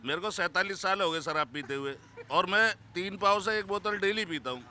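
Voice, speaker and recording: Man, 65, member of the public, highish and very bright voice, casual street opinion. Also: fairly quick. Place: outdoors on a street.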